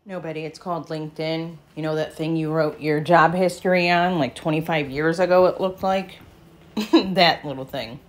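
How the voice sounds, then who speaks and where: A woman's voice: low-pitched, steady tone, moderate pace. A woman giving dry, skeptical reaction commentary in a sardonic manner. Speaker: video commentator. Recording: faint background noise.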